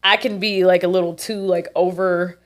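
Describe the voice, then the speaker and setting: A woman's voice: on the low side, level tone, moderate pace. A young woman co-hosting a podcast in casual chat, blunt and free with swearing, her nose stuffed up. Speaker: podcast co-host.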